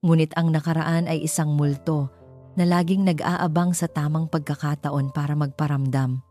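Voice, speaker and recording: Low, mellow woman narrating romance over music. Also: steady tone, normal speed. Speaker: storyteller. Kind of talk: scripted dramatic romance narration. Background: background music.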